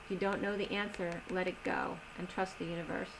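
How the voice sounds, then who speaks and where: A woman giving a tarot reading in a slow, soothing manner, slightly strained as she is losing her voice. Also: on the low side, little pitch movement, creaky, noisy background. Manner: slow, soothing reading of oracle cards with gentle pauses. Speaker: tarot reader. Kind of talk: solo tarot reading video.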